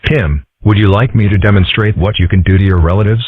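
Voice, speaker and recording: Deep, husky man as a deadpan fox boy. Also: fast, no room sound. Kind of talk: comic strip dub. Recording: muffled phone-like audio.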